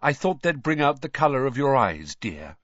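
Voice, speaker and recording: A man's voice: fairly high pitch, wildly swooping pitch, a little slow, husky, no echo, lo-fi sound, voice pushed up, heavily acted. A man reading aloud, voicing a fussing, cross middle-aged mother in a raised voice. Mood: scolding. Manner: sharp, scolding, motherly.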